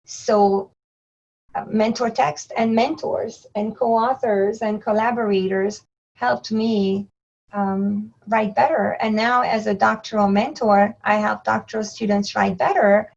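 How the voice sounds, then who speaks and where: A woman whose first language is not English, a guest on an education podcast, speaking in a reflective, measured way. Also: mid-range voice, steady tone, creaky, dull audio. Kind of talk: podcast interview.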